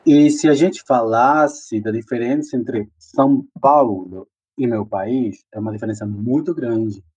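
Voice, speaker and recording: Slow-talking Peruvian man, unhurried. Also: mid-range voice, darkish tone, husky. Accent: Peruvian Spanish. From Peru. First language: Spanish. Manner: slow, unhurried, chatty with many fillers. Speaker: interviewee. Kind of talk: casual personal storytelling.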